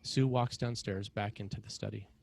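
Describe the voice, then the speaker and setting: A man narrating scripted screenplay directions in a measured, matter-of-fact way with short clipped phrases. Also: fairly low pitch, fairly even intonation, vocal fry, a little mellow, a little hiss or hum.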